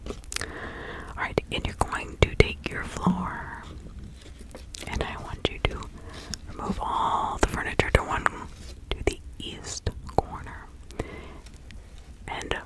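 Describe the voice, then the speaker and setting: Kindly witch healer played by a woman for ASMR roleplay: fully whispered, unhurried, reassuring, with a hushed spell-casting manner. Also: lightly acted, low-quality recording. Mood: gentle, reassuring. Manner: slow, hushed, soothing, incantatory. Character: kindly female village witch and spiritual healer, reassuring, protective.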